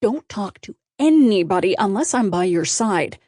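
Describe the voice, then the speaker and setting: A woman voicing a sharp, controlling female publicist with a snappy, commanding manner in a storybook. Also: medium pitch, big pitch swings, moderate pace, no echo, clean studio sound.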